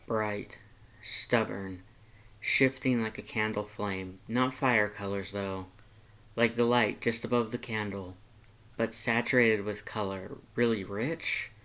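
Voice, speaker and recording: A man voicing a laid-back, gentle skeleton monster with a casual, understated way of talking, in a storybook reading. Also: lowish voice, steady tone, takes it slowly, rough recording. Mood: reverent, soft.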